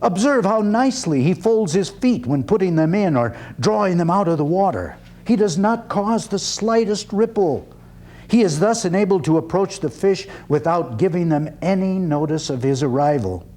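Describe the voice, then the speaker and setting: A man playing a proud, wry 19th-century freethinking orator in a dramatic one-man stage reading, with deliberate, declamatory phrasing. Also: high-pitched, expressive, darkish tone. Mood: storytelling, wry. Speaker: actor performing a one-man play.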